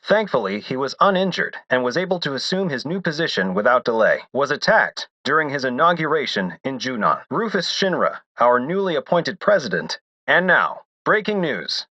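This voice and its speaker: A man playing a formal news announcer who reads official bulletins in a measured game voice. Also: medium pitch, big pitch swings, sharp tone, no room sound, muffled recording. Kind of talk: scripted news broadcast.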